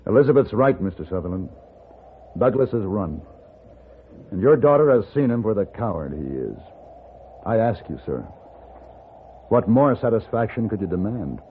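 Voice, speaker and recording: A low, thin-band vintage radio voice: a man acting a gentleman gunfighter of the Old West. He is composed and shrewd, formal in his phrasing and used to being obeyed, with pitch that moves freely. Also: lively intonation, slight background noise, close to an everyday voice. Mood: calm, firm. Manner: measured, formal, authoritative. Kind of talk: 1950s radio western acting. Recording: narrow-band 1950s radio recording.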